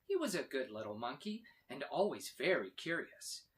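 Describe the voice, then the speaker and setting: A man reading a children's story aloud with expressive, dramatic emphasis. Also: medium pitch, moderate pace. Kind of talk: animated read-aloud narration for children.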